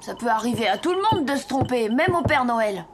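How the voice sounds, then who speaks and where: High-voiced earnest orphan boy, played by a woman. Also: animated, a little fast, noisy background, lightly acted. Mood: doubtful. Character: earnest, self-doubting orphan boy, apprentice Father Christmas. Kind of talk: French children's cartoon dubbing. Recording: music behind the voice.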